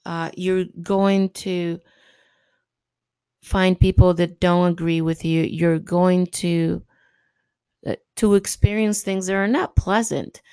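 Woman, native Spanish speaker, upbeat pep-talk host, smooth clear voice, dry close sound. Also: lowish voice, steady tone, takes it slowly, dark tone, no echo. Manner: upbeat, encouraging, rambling with fillers and laughs. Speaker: podcast host. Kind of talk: casual motivational monologue.

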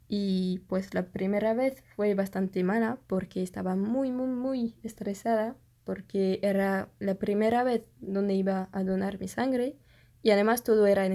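Young French-native woman vlogger; slow, mellow, hesitant delivery that gropes for words. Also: medium pitch. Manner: hesitant, searches for words, many fillers. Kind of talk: casual storytelling to camera.

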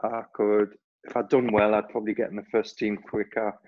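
A man's voice: on the low side, flat delivery, fairly quick, narrow, tinny audio. A man in his forties with a Geordie accent, a former player and club staff member, answering interview questions in a rambling way with many restarts. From Newcastle, England. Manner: rambling, frequent restarts and fillers.

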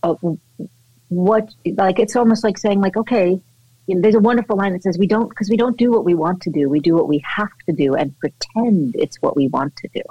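A woman's voice: mid-range voice, fairly quick, dark tone, slightly rough, no echo, dull audio. A woman actress chatting in an interview, effusive and full of fillers and restarts. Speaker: actress guest. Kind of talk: casual interview chat.

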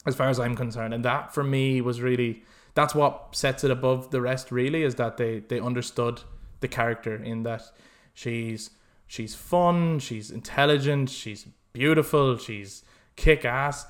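A man with a mid-pitched voice chats casually as a podcast co-host. He is animated and opinionated, swearing freely as he makes his case. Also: a little slow, hardly any pauses. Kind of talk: casual film discussion chat.

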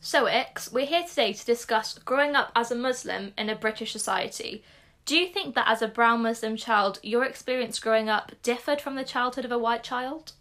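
A woman's voice: fairly high pitch, moderate pace, a bit bright. A woman hosting a podcast, introducing her guest and asking brief questions. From Wales. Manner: brief questions and backchannel, laughs easily. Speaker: podcast host. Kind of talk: podcast interview.

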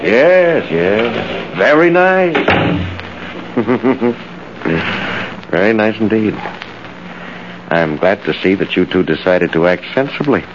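Suave male schemer, insinuating and very animated, vintage radio drama sound with slight hiss. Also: on the low side, slow, long pauses. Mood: smug, genial. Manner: suave, mock-friendly, insinuating. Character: smug, silky male blackmailer posing as business partner. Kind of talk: 1940s radio drama acting. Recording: muffled, hissy old radio recording.

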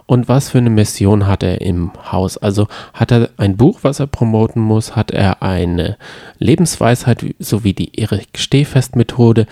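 A man's voice: fairly low pitch, warm tone, no echo, studio quality. A man co-hosting a podcast in casual chat, rambling and often trailing off mid-sentence. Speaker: podcast co-host.

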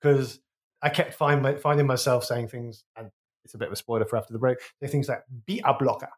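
A man's voice: mid-range voice, fairly even intonation, quick pace. A man from Wolverhampton with a West Midlands accent, co-hosting a casual music podcast in a chatty, rambling way full of restarts. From Wolverhampton, England. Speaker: podcast co-host.

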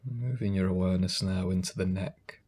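A man leading a guided meditation as a calm, gentle guide, giving unhurried instructions. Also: low-pitched, breathy edge.

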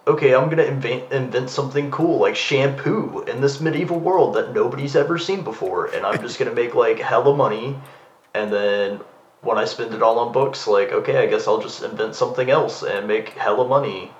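A man's voice: medium pitch, fairly quick. Muffled, echoey male podcast co-host. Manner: rambling, slangy, acts out quoted thoughts. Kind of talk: casual chat. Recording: muffled, roomy, faint background noise.

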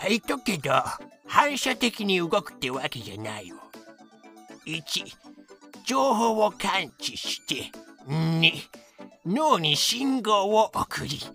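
A man's voice: high-pitched, gravelly, pushed and bright, exaggerated voice. A man voicing a cheerful, boastful cockroach who explains things with cutesy verbal tics in a comedic fan voice drama. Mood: smug, explanatory. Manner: cutesy verbal-tic sentence endings, explanatory. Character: boastful talking cockroach, male, cheerful know-it-all. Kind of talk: fan-made comedic voice drama, educational banter.

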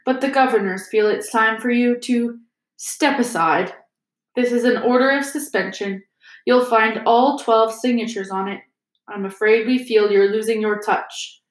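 In a roomy, echoing recording, a woman reads as a haughty aristocratic man from a storybook. He is smooth and villainous, drawling with lazy contempt and false courtesy. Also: mid-range voice, natural voice. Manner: lazy, silky, mock-polite drawl. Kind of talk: storybook character voice.

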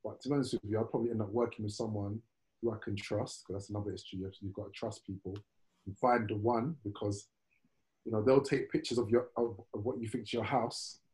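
A man's voice: fairly low pitch, fairly even intonation, quick pace, a little mellow, low-quality recording. A man in casual podcast chat, talking in a rambling way with many restarts and repeated words.

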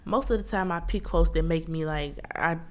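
A woman hosts a relaxed book review and speaks in a low, deep register. She is chatty and slangy. She addresses her listeners directly, as if they were friends. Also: moderate pace. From Memphis, Tennessee.

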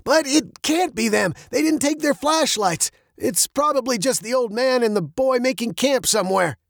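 A man voicing a cheerful, playful dad in a storybook, with an excited, sing-song manner. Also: extremely high pitch, moderate pace, vocal fry, dry recording, studio quality, pitched above the performer's own voice, exaggerated voice. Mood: puzzled. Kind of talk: storybook character voice.

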